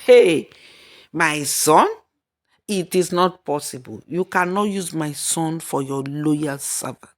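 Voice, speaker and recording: A woman's voice: low-pitched, takes it slowly. Woman playing an indignant, scolding older mother-in-law. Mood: angry scolding. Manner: scolding, exclamatory, emphatic.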